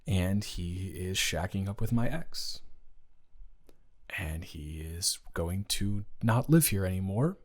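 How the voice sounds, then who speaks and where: A man playing a young best friend, indignant yet gentle, in an improvised intimate audio roleplay with halting, emotional, natural speech. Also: low-pitched, animated, slightly rough, heavily acted. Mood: subdued, hesitant.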